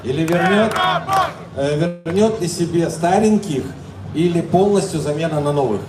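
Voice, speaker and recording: A man's voice: a little high, normal speed. A man moderating questions at a rally, announcing speakers and leading chants. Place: outdoor rally. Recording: echoey, from far off, crowd noise.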